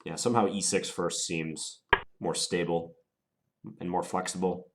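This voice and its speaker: A man with a very low voice, stuffed up from a cold, talks himself through his chess moves. He sounds deliberate and musing, weighing each option out loud. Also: steady tone, a little hiss or hum. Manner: deliberate, musing, unhurried analysis. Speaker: chess player commentating his own game. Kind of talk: thinking aloud while playing.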